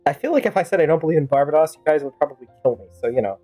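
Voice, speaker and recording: A woman's voice: sits low, lively intonation, racing, dark tone, faint music behind. A woman streaming a game with casual, rambling, playful commentary and sudden exclamations. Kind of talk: casual game commentary with in-character fan-dub banter.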